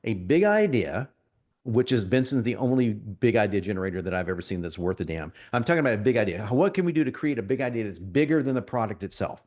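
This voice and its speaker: A man getting over a cold, presenting a live marketing software demo to an audience in a conversational, salesy way, often restarting his sentences. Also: lowish voice, expressive, fast.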